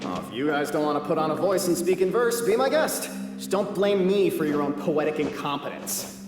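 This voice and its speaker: A man's voice: on the high side, sing-song, fairly quick, reverberant, big-room sound, noisy background. A man voicing a bossy, pompous clan leader creature who fancies himself a poet, in a video game. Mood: snippy, dismissive. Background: prominent background music.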